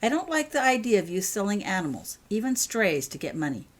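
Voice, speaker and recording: A woman's voice: medium pitch, big pitch swings, brisk, pitched above the performer's own voice. A woman voicing a firm, caring mother in a storybook reading, decisive and motherly. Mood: stern.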